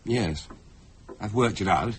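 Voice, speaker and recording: An actor with an English accent playing a grumpy, sceptical, protective husband in a radio play, dismissive and sardonic. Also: male voice, on the low side, slow pace, a little hiss or hum. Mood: angry, evasive.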